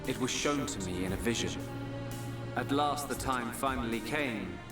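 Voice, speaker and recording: A man's voice: mid-range voice, moderate pace, close to an everyday voice. Man voicing an echoing, solemn, aloof god. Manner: solemn, formal, declamatory. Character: serene, aloof god reborn, pronouncing fate. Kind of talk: video game cutscene acting. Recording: distant echoing voice under loud music.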